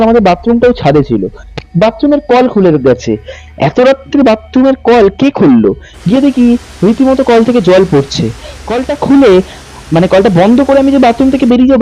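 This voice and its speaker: A man's voice: very high voice, warm tone. A man narrating a horror story as a channel host. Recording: muffled lo-fi audio with music behind. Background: music bed.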